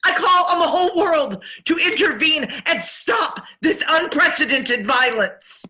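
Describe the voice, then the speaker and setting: A woman's voice: high voice, lively intonation, a little slow, bright, forceful tone, exaggerated voice. A woman playing a distraught opposition leader. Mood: alarmed. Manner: pleading, alarmed public appeal. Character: distraught female opposition speaker.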